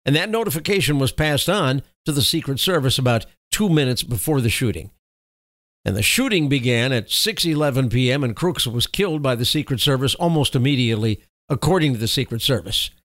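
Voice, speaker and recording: Lively, opinionated podcast host, dry sound. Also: male voice, medium pitch, a little fast, dry recording. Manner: opinionated, folksy sign-offs. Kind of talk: news commentary podcast.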